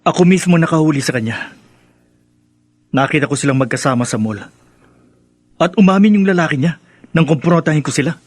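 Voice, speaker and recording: Seething, high-pitched angry young son. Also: male voice, animated, brisk, pauses often, no room sound, clean studio sound. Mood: bitter, aggrieved. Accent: Filipino. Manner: melodramatic, seething, confrontational. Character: angry young adult son, bitter and accusing. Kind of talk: Filipino radio drama acting.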